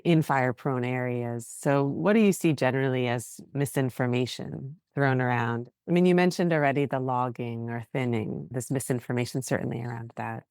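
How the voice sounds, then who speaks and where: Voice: mid-range voice, darkish tone, no echo. An interviewer asks questions in a conversational style. The recording is dry, with very little room sound around the voice.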